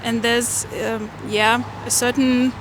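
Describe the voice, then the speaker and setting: A woman from Belarus answering a street interview outdoors, pausing often to find her words. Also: fairly high pitch, flat delivery, background noise. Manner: halting, searching for words.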